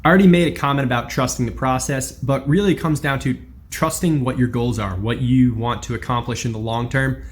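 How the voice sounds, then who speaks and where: A man teaching an online course in a video, talking in a brisk, informal, encouraging way. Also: medium pitch. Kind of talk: instructional video talk.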